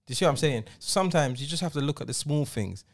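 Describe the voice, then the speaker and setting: A man's voice: fairly high pitch, lively intonation, fast talker. A man in his forties, co-hosting a podcast and telling stories in a slangy, emphatic way. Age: 40s. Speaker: podcast co-host, DJ. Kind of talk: casual chat.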